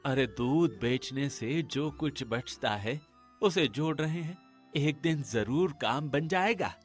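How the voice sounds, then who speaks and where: A man's voice: a little high, expressive, slow, faint music behind. A man playing a humble, hopeful poor village husband in a cartoon, speaking earnestly. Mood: determined, humble. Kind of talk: acted Hindi cartoon dialogue.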